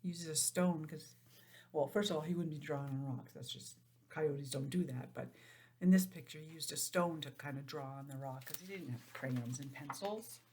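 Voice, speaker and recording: A woman teacher reading a picture book aloud to young children, stopping for simple, chatty explanations in an animated manner. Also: low-pitched, moderate pace, slight background noise. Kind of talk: storybook read-aloud with chatty asides.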